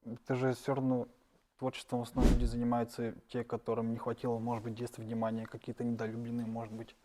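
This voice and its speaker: A young man, a stand-up comedian, answering interview questions casually and letting his phrases trail off quietly. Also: medium pitch, monotone, fast talker, slight background noise.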